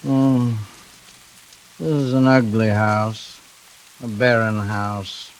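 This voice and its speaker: An actor playing an unhinged fugitive intruder in a 1940s radio thriller, halting and falsely polite as he threatens. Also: on the low side, steady tone, poor audio. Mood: brooding menace. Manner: mock-polite, halting, with sudden contempt. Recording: hissy vintage 1940s radio recording.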